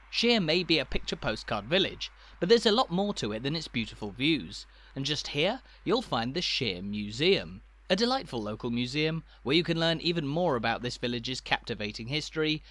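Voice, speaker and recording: A man narrates a scripted walking tour. His voice sits slightly high and moves with very lively intonation. The phrasing is polished and measured, like a travel documentary.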